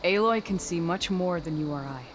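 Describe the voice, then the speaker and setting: A woman's voice: on the low side, moderate pace, slight background noise, close to an everyday voice. An actress playing a loyal, confident warrior woman with a wry, assured manner in a naturalistic video game performance.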